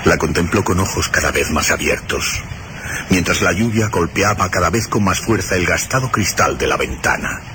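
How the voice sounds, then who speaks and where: Male narrator for horror radio drama: very low, expressive, solemn, set against loud rain and storm noise. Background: rain and storm ambience.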